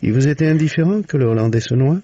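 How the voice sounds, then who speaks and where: A man's voice: medium pitch, lively intonation, fast talker, warm tone, close to an everyday voice. A man playing a shrewd, patient police commissioner in a French radio mystery, questioning in a probing, paternal, conversational way with muttered prompts. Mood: pressing. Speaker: actor in a radio mystery play. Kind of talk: 1950s French radio drama acting. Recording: muffled old radio recording.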